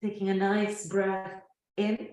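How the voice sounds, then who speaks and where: A woman teaching yoga nidra, guiding a relaxation gently and without hurry, with long pauses between phrases. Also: mid-range voice, monotone, roomy sound. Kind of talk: guided relaxation meditation.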